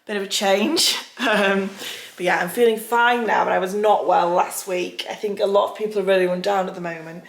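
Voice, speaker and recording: A young woman with a husky, raspy voice from tonsillitis, chatting upbeat to her vlog viewers with lots of ums. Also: mid-range voice, steady tone, brisk. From England. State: recovering from tonsillitis. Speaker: vlogger. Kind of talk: casual vlog chat. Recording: echoey room.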